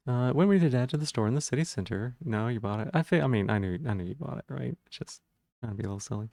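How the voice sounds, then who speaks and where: A man with a gravelly voice and a sore throat, rambling casually to viewers on a livestream while checking things aloud. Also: medium pitch, expressive, fast talker, dark tone, dry recording, studio quality.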